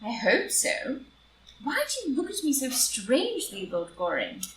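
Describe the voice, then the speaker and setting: A woman playing an upright, devoted, morally strict society wife in a live reading of a stage comedy, in a formal, deliberate way. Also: high voice, wildly swooping pitch, heavily acted. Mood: challenging. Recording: roomy, distant, noisy live group recording.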